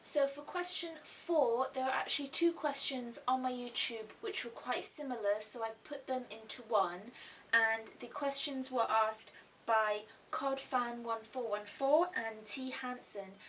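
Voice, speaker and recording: A woman's voice: a little high, unhurried, a bit bright. A young woman who grew up in Thailand, hosting a casual art-channel question and answer vlog in a chatty way, with asides and restarts. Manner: chatty, repeats herself, little asides. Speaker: YouTube art channel host. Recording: faint hiss, mic a little distant.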